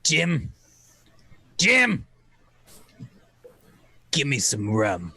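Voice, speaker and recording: A man playing a gruff, ailing old pirate sea captain who craves rum, pleading desperately in salty seafaring speech for a radio play. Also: mid-range voice, wildly swooping pitch, extremely slow, pushed and bright, no echo, exaggerated voice. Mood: shaken, urgent.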